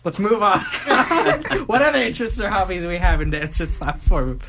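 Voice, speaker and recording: Man, podcast host, casual, teasing, rambling, lively pitch. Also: moderate pace, slight background noise. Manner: rambling, teasing, laughs often. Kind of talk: casual campus podcast interview.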